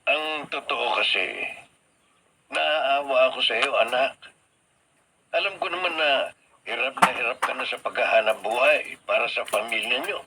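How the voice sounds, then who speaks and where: An elderly Filipino father in a radio drama, stern yet protective of his family. His voice is mid-pitched, sharp and penetrating. The recording carries slight noise. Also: normal speed, long pauses. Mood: regretful, protective. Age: older. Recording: noisy radio drama broadcast.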